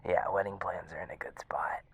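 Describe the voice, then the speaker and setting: A man acting a teasing young male gamer friend in a casual audio roleplay, halting and playful, with a breathy voice. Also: sits low, exaggerated voice. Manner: halting, playful, pleading banter.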